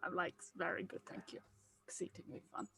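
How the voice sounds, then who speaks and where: A woman's voice: a little fast, a crowd in the background. A woman chatting casually on a group call as the writer leading a table read, jokey and self-deprecating. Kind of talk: casual video call chat.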